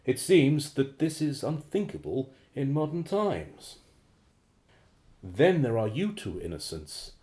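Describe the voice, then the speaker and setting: A man's voice: mid-range voice, sing-song, slow, darkish tone. An actor playing a formal, hesitant, uneasy man, a secretive operative who has turned on his organization, in a radio drama. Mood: confessional, uneasy. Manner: hesitant, formal, well-spoken.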